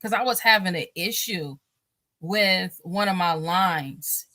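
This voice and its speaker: A woman's voice: lowish voice, slow pace, pushed and bright, no echo. A married woman, a guest on a talk show, speaking casually in a rambling way with many fillers and restarts, and often laughing as she talks. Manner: laughs often while talking.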